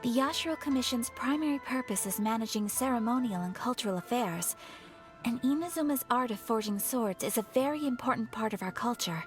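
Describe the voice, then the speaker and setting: Anime game role for a woman: diplomatic young noblewoman, measured, courteous, lightly high voice. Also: expressive, faint music behind, close to an everyday voice. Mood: composed, explanatory. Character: refined, polite young noblewoman, composed and diplomatic.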